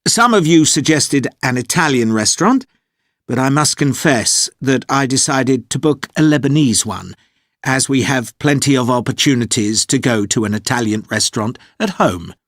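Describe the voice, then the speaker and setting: Tour organiser giving a polite scripted briefing, measured, very up and down intonation, dry sound. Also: male voice, medium pitch, lively intonation, a bit bright, no echo. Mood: polite, informative. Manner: measured, clear, politely explanatory. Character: organised, courteous male tour leader briefing a theatre group. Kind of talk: scripted informational monologue for an English listening test.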